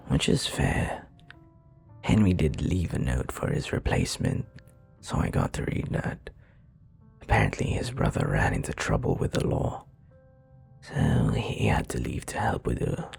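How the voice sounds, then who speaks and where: A man playing a charming, courteous, flirty vampire bartender in an intimate ASMR roleplay, speaking in a breathy, gravelly voice that often drops to a whisper. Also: deep voice, exaggerated voice. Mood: soft, sympathetic. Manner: suave, courteous, teasing, unhurried.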